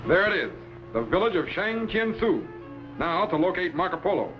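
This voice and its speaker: A man acting a commanding time-travelling captain in an old sci-fi serial, giving clipped, steady orders on a dated recording. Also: high voice, normal speed. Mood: steady, urgent. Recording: dull old broadcast recording with loud music.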